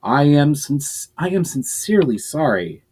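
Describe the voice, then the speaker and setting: A man's voice: on the high side, unhurried, slightly rough, pitched above the performer's own voice, heavily acted. A humble young human traveler, voiced by a male reader, polite and earnest. He talks carefully and apologetically, working to keep his cool in a fantasy storybook scene. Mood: contrite. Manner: polite, trying to stay calm. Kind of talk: dramatic reading of a novel.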